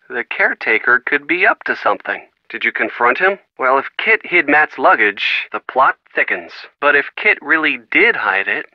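A man's voice: mid-range voice, dry recording. A man playing a supportive young boyfriend in a video game, casual, wry and affectionate over a phone line. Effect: telephone filter. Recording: band-limited phone-line sound.

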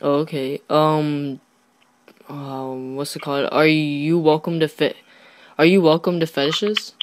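A teenage boy making a casual prank phone call in a hesitant, halting way. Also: fairly high pitch, flat delivery. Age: teenager, fifteen. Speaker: prank caller.

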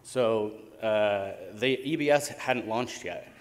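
An American man speaks as a keynote presenter on a conference stage. His voice sits fairly low, and his delivery is informal and a little hesitant, dotted with ums. The sound carries the long reverb of a big hall. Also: slow. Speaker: conference keynote speaker, tech company co-founder.

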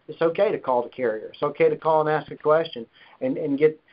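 A man explaining things as the host of a business conference call, speaking over the telephone. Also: a little high. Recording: dull, band-limited conference phone line.

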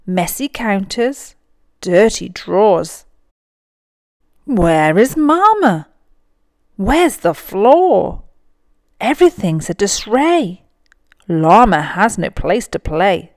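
Woman, children's read-aloud host, very slow pace, lively swooping intonation, deliberate emphasis, mid-pitched. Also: dry recording, clean studio sound. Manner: deliberate, animated storytelling with dramatic emphasis. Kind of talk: expressive picture-book read-aloud for children.

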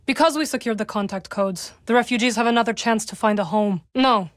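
High-voiced, solemn young witch played by an actress. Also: no echo, studio quality, natural voice. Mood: calm, guarded. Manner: measured, formal phrasing with few contractions. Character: young adult witch, solemn, guarded, dryly wry rebel. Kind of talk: video game character dialogue.

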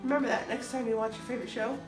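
A woman's voice: fairly high pitch, fairly even intonation, very fast, over music. A woman in her thirties with an American accent, chatting to the camera while she renovates an unfinished laundry room, filmed on a phone. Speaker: vlog host. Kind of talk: casual home renovation vlog. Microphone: phone camera held at a distance. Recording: echoey room, distant phone mic.

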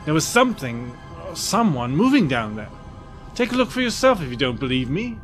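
A wary, insistent male crewman played by a man in a sci-fi audio drama. His voice sits a little high and moves expressively, over faint music. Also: big pitch swings, brisk, fairly bright tone.

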